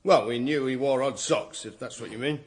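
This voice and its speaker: An actor playing a flustered, defensive British chief inspector in a radio comedy drama, hesitant, stammering and evasive. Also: male voice, mid-range voice, moderate pace, close to an everyday voice.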